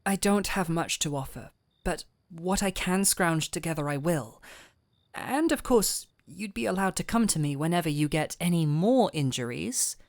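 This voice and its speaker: Audio roleplay actress as kindly woman physician: deep for a woman, close dry recording, soothing and tender. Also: expressive, no room sound. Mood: coaxing, tender. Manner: gentle, unhurried, soothing. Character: gentle, caring fantasy physician woman, patient and softly teasing. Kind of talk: intimate scripted audio roleplay.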